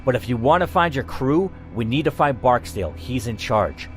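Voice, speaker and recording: A man's voice: mid-range voice, expressive, normal speed, faint music behind, close to an everyday voice. A man voicing a terrified, guarded woman scientist in her late thirties from a horror game story. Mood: afraid, warning. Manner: fearful, guarded.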